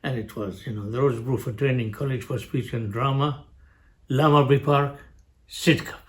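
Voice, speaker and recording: An older man with an Arabic accent recalls his school days at a mid pitch, slow and unhurried. He stops often, restarts his sentences, and chuckles along at his own story. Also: fairly even intonation. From Jordan. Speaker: family show guest, father. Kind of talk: storytelling, casual family chat.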